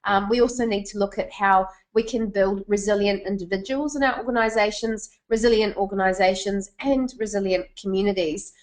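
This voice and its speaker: A woman's voice: medium pitch, steady tone, brisk, hardly any pauses. Kiwi-accented woman running an online business seminar, friendly and talkative, keeps checking in with the audience. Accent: New Zealand. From New Zealand. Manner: chatty, asks the audience questions. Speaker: webinar presenter. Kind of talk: presenting a business webinar.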